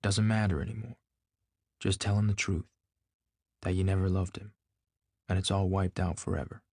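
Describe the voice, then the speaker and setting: Man acting an intense, earnest lovestruck gentleman. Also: low voice, fairly even intonation, normal speed, husky, no echo, studio quality. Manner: earnest, emphatic, polite.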